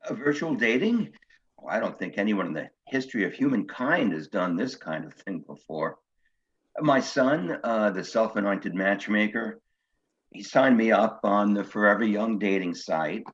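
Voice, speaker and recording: A man's voice: lowish voice, expressive, moderate pace, a bit bright. A man playing a nervous, cultured older widower in a comic one-act play, hesitant, stammering and earnest. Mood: awkward, explaining. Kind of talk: one-act stage comedy acting. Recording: dull, lo-fi sound.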